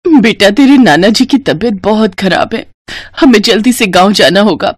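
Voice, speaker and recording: Gentle, anxious young mother , voiced by a woman. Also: mid-range voice, animated, normal speed, breathy edge, dry recording, clean studio sound. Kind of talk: cartoon story voice acting.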